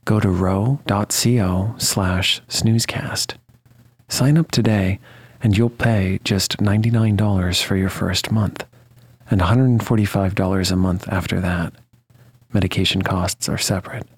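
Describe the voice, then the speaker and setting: Man, scripted ad read, husky tone, fairly low voice, dry recording. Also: fairly even intonation, unhurried, dry recording. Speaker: advertisement reader.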